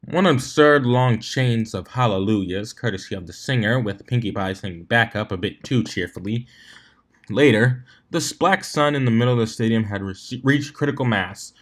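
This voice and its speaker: A man's voice: medium pitch, normal speed. Male story narrator, mid-range voice, reading from a script with occasional stumbles and retakes. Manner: stumbles over words and rereads them. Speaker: fan fiction reader, narrator.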